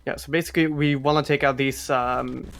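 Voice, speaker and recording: A man's voice: medium pitch, fairly even intonation, fairly quick, gravelly, fairly bright tone, slight background noise. A man giving casual, joking live commentary on a speedrun at a gaming event. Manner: joking, playful banter.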